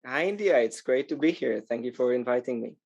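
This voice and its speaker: A man from Israel speaking as a podcast interview guest, conversational, often pausing mid-phrase as he explains. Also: mid-range voice, animated, creaky, a little mellow, lo-fi sound. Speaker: interview guest, sustainable tourism organization manager.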